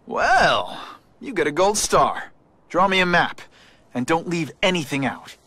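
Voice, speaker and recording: An actor playing a young, wisecracking superhero in a video game, earnest and indignant, with a sarcastic, expressive delivery. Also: male voice, on the high side, measured pace, dry recording, full character voice. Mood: dry, quippy. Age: young adult. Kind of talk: dramatic video game voice acting.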